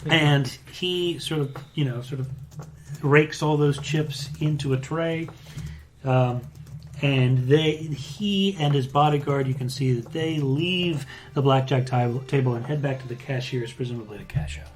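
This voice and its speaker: A man acting as game master, describing scenes with fillers and quick asides. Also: medium pitch, a little hiss or hum. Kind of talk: narrating a tabletop spy game.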